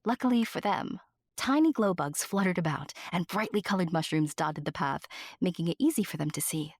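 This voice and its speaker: A woman giving scripted fairy-tale narration, addressing the listener with deliberate dramatic pauses. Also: fairly low pitch, lively intonation, no room sound, studio quality. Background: music.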